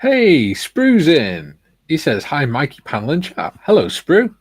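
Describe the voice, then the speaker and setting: A man's voice: a little high, wildly swooping pitch, unhurried, husky, dry recording, clean studio sound. A man hosting a live stream, casually reading out chat messages with chuckles and jokes. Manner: chuckling, jokey.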